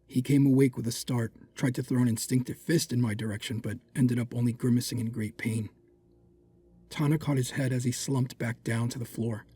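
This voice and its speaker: An actor plays a proud dark warrior with a hot temper and a protective streak. His mid-range male voice is grave and formal, theatrical in its phrasing, with faint music underneath. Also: medium pitch, fairly even intonation, brisk, breathy edge, lightly acted. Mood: brooding. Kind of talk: first-person dramatic audio drama narration.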